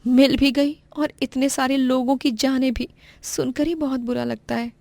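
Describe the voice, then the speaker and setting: A woman's voice: sits high, steady tone, moderate pace, vocal fry, darkish tone, no echo. A woman voicing a doting, plain-spoken elderly village mother in a cartoon story, with rustic motherly speech. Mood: sorrowful.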